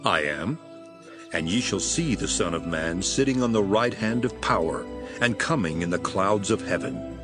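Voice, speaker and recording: A man playing a solemn, authoritative, sorrowful teacher in a dramatized scripture reading, declaiming in a measured way. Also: deep voice, expressive, music in the background. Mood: solemn authority.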